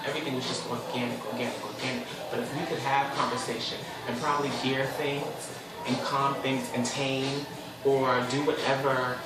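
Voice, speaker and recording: A man in his twenties hosting his own casual talk show, chatty and expressive, with sudden high squeals. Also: far from the mic, very noisy. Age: 20s. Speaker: host. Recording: echoey room, voice a bit off the mic.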